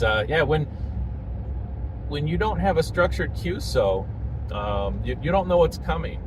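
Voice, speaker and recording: A middle-aged man talking casually and haltingly in an informal, explanatory vlog, recorded while driving in a truck. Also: medium pitch. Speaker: amateur radio vlogger. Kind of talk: casual rambling vlog talk. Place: inside a truck driving in traffic. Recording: distant mic, clear vehicle and road noise. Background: traffic and vehicle noise.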